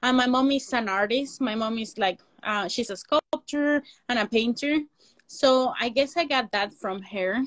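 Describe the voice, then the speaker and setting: A woman's voice: on the high side, a little slow, a bit bright, dry recording. A woman from Mexico City with a Mexican Spanish accent and Spanish as her first language, talking casually as an interview guest, rambling with lots of fillers over a video call. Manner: rambling, frequent "like" fillers, non-native grammar, laughs often. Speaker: guest, makeup and prosthetic artist.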